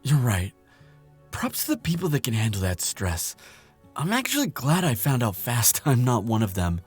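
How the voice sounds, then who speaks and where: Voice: mid-range voice, very animated, moderate pace, darkish tone, husky, soft background music. A performer playing a nervous, kind lab assistant in an audio roleplay drama, hesitant and stammering. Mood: earnest, subdued.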